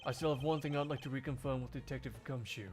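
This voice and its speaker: A man voicing a cool, stern investigator in a measured, formal way for a game fandub. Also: medium pitch, fairly even intonation, close to an everyday voice. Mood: stern, probing. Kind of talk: video game fandub acting.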